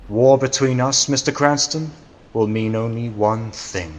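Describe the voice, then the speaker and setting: An actor playing an arrogant, million-year-old criminal mastermind in an old-time radio drama, pompous, theatrical and condescending. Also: male voice, mid-range voice, unhurried, long reverb tail, slight background noise, natural voice. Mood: grandiose menace.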